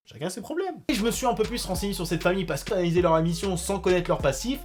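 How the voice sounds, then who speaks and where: A young man doing casual reaction commentary on a reality show, sarcastic and animated, swinging between loud outbursts and mumbled asides. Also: high-pitched, quick pace. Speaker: YouTube commentator.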